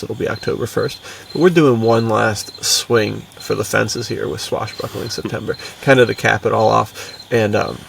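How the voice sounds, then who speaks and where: Creaky male voice, casual upbeat podcast chat, slangy, a bit off-mic. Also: fairly low pitch, distant mic, slight background noise. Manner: laid-back slang, laughs easily. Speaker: podcast co-host, writer.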